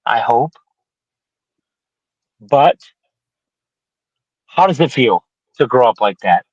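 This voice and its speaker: A man's voice: medium pitch, lively intonation, slow pace, breathy edge, dry recording, lo-fi sound. A man in his twenties from the Detroit area, chatting about sports as a podcast guest in a rambling, joking, profane way. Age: 20s. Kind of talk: casual sports chat.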